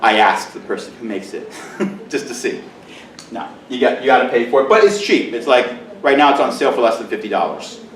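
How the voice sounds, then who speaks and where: A middle-aged male teacher giving a conference presentation in a loud, booming voice with joking asides, recorded from far off in a large room. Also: expressive, a little fast, reverberant, slight background noise. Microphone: camera mic from far off.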